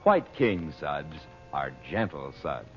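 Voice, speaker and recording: A male announcer reading a sponsor pitch in the style of 1940s radio. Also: big pitch swings, slow. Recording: muffled old radio recording. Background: music.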